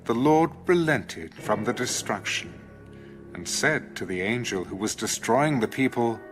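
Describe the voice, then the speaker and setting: A man's voice: mid-range voice, expressive, measured pace, over music, lightly acted. A man narrating scripture from a script in a formal, solemn manner.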